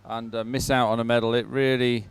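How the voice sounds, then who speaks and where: A man presenting live sports commentary, pausing as he goes and adding upbeat, sentimental asides. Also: medium pitch, flat delivery, clean tone, dry recording. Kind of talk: live commentary on a dragon boat regatta.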